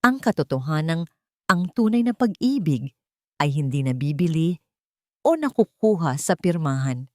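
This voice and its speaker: Storyteller, woman, lower register, dramatic scripted romance narration, dry studio sound. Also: sing-song, normal speed, a little mellow, no echo.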